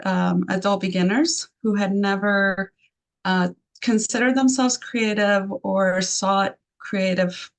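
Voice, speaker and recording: Gravelly woman, even measured delivery. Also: mid-range voice, rough recording. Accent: Argentine. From Argentina. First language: Spanish. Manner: thoughtful, many fillers and restarts. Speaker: arts program founder. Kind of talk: interview answers.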